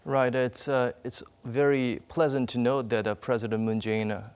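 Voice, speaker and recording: A man anchoring a live news discussion, commenting and asking questions in deliberate, reflective, wordy phrasing. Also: mid-range voice, level tone, darkish tone, clear, resonant tone.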